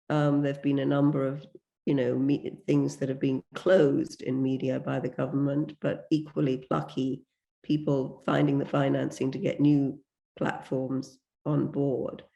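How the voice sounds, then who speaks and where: Gravelly, mellow-toned male moderator. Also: a little high. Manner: courteous, formal phrasing with hesitant restarts. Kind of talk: lecture moderation and questioning.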